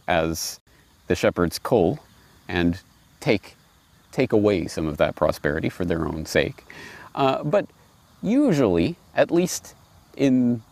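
A man in his forties talks reflectively in a fairly low voice with plenty of gravel. His intonation moves widely as he stresses his points deliberately. He is speaking outdoors into a lapel mic. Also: darkish tone, dry recording. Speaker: host and commentator. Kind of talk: reflective commentary with read-aloud fables. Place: outdoors on grass by trees and water.

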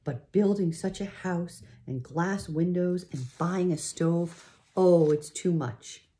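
A reader voicing a gentle pioneer mother in a read-aloud storybook. She speaks softly, a touch above the narrating voice, and sounds a little hushed and overwhelmed. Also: medium pitch, slow, voice pushed up, close to an everyday voice.

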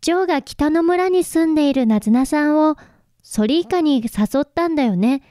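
Shy young woman, high and mellow. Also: lively intonation, normal speed, no echo, clean studio sound. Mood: wistful. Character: shy, lovelorn young woman, soft and wistful. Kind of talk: radio drama acting with first-person narration. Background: faint instrumental music.

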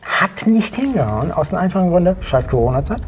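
A middle-aged man answering interview questions casually, in a plain-spoken, matter-of-fact way with a husky, gravelly voice. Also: sits high, sing-song, brisk, slight background noise. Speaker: interview guest, sculptor and illustrator. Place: small indoor talk-show studio.

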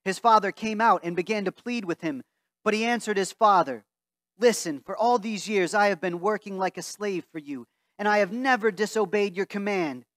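High-voiced pastor reading scripture. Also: male voice, normal speed, a bit bright, no echo. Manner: earnest, reads aloud from a Bible while standing. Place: church sanctuary before a stained-glass wall.

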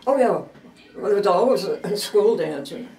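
A woman's voice: mid-range voice, lively intonation, normal speed, mellow, slight background noise. An older woman hesitantly recalling school dances. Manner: hesitant, searching memory. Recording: distant echoey room.

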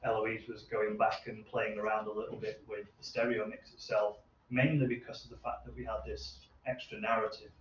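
A man in his thirties with a fairly low voice explains technical matters informally. He speaks haltingly, thinking aloud. He sounds distant from the microphone in an echoey room, with some background noise. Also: flat delivery. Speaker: researcher presenting at a seminar. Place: medium seminar room with hard walls. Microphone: distant camera microphone. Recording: roomy, distant, muffled, noisy room recording.

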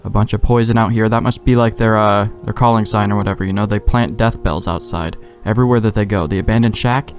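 Dude on a let's play, lowish voice, wry and sweary, faint music behind. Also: male voice, steady tone, fast, few pauses. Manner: wry, joking, profane. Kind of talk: casual game commentary.

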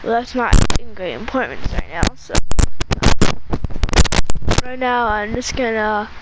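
A woman's voice: on the high side, animated, unhurried. A woman playing a bossy, scheming villain master in an improvised video game roleplay, speaking in an offhand, commanding way. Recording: very noisy home game recording.

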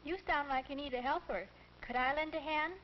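A woman's voice: high voice, brisk, close to an everyday voice. A young woman playing a polite, friendly, sensible teenage girl in a 1940s instructional film. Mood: friendly, helpful. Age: young adult. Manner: neat, courteous. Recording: muffled, noisy 1940s film soundtrack.

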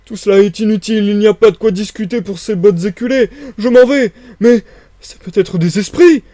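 A man acts out a storybook tramp, gruff and easily alarmed. The voice jumps well above ordinary talk, loud and exclamatory, blustering and grumbling in bewilderment, in a dry recording. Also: high voice, moderate pace, no room sound, voice pushed up, close to an everyday voice. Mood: flustered, giving up. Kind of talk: dramatized audiobook reading.

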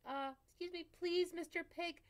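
A woman's voice: very high voice, fairly even intonation, normal speed, pitched above the performer's own voice. A woman voicing a timid, well-meaning wolf who politely pleads at doors in a read-aloud storybook. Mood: pleading.

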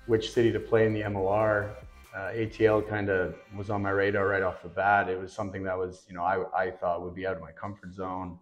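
Low-voiced man, relaxed and rambling. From Canada. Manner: relaxed, rambling, many fillers. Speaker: rugby player. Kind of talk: casual panel chat. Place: indoor room with a branded backdrop.

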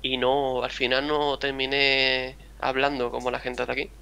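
Young male host, mild Andalusian accent, bright tone, rambling casual chat on a call. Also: medium pitch, completely flat delivery, moderate pace, vocal fry, clear, resonant tone. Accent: mild Andalusian Spanish. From Spain, a town near Seville. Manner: rambling, chatty, acts out quotes. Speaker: gaming channel host. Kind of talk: casual chat over a video game.

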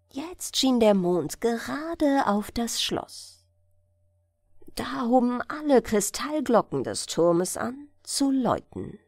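A woman narrating a bedtime fairy tale in a measured, expressive storytelling manner. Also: fairly high pitch, no room sound, studio quality. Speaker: audiobook narrator.

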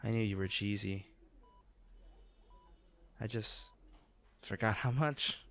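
Man acting as a slow-talking, devoted, teasing boyfriend. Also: on the low side. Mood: soft, resigned. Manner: halting, tender, gently teasing. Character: devoted, teasing, self-blaming boyfriend on a theme park trip. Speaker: voice actor. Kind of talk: one-sided romantic audio roleplay.

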